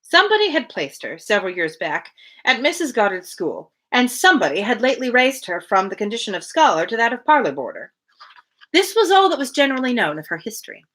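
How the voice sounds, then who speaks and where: Lively, bright-voiced woman reading aloud. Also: fairly high pitch, brisk. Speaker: reader hosting a daily livestream. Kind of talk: live novel read-aloud with casual asides.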